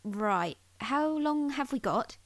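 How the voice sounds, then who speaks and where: Audio drama casting: a prickly, squeamish young woman student, dramatic and sarcastic, very animated delivery. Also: high-pitched, takes it slowly, no echo, heavily acted. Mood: sarcastic, uneasy. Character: anxious, melodramatic young female university student, prickly and squeamish.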